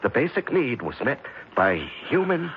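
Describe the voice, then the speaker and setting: Distinguished judge turned killer, very animated low voice, measured zealot; muffled, hissy vintage radio recording. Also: male voice. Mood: fanatical, intense. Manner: philosophizing, measured, fanatical. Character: murderous male judge, distinguished, obsessed with killing. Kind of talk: 1970s radio drama acting. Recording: dull, noisy old radio broadcast recording.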